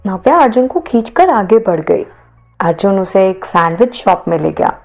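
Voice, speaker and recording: A woman's voice: mid-range voice, very animated, moderate pace, creaky, highs cut off, faint music behind. A woman narrator reading a scripted audio story.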